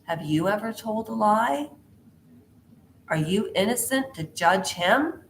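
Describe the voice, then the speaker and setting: A woman's voice: medium pitch, expressive, takes it slowly, a little hiss or hum. Chatty woman vlogger in an echoey room. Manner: chatty, jumps between tangents, quizzes the viewer. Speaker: pop culture vlogger. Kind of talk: rambling casual commentary to viewers.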